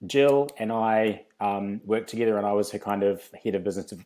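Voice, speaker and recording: Low-voiced New Zealand man. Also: brisk, warm tone. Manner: chatty, frequent ums, laughs easily. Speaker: podcast guest, fitness company founder. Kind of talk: relaxed podcast interview.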